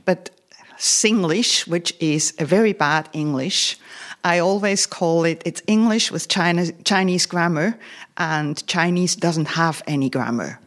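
Low-voiced woman chatting frankly. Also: a little slow. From Switzerland. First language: Swiss German. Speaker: interview guest. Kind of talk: radio interview.

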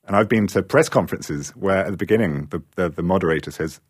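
A man's voice: low voice, fast talker, breathy edge, no room sound, studio quality. A British man reporting and interviewing for a radio feature, wry and conversational. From Britain.

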